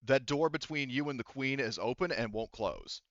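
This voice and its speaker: A man's voice: mid-range voice, lively intonation, normal speed, fairly bright tone, dry recording, muffled recording. A man running a tabletop role-playing game as game master, casually thinking aloud as he handles the rules.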